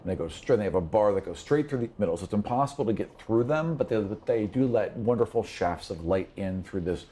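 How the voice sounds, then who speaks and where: A man's voice: lowish voice, expressive, fast, hardly any pauses, warm tone, breathy edge. A man working as game master, narrating a tabletop scene off the cuff in a conversational, descriptive way with rambling asides.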